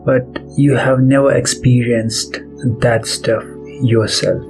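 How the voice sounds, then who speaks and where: A man leading a guided meditation as its host, speaking softly and deliberately in short phrases with pauses between them. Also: mid-range voice, little pitch movement, low-quality recording. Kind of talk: guided meditation, soft spoken instruction. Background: background music.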